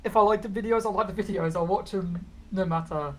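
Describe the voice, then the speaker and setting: A man's voice: sits high, brisk, fairly bright tone, slight background noise. A young British man with a regional accent, a VTuber guest chatting casually and rambling with lots of fillers. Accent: British, non-London regional. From Britain. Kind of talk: casual interview chat.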